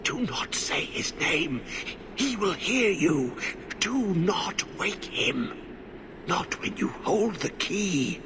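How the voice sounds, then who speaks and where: An actor playing a half-mad, blighted old warden in a video game, muttering in halting, repetitive fragments. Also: male voice, high voice, very animated, background noise, heavily acted. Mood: fearful warning. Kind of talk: dramatic video game acting.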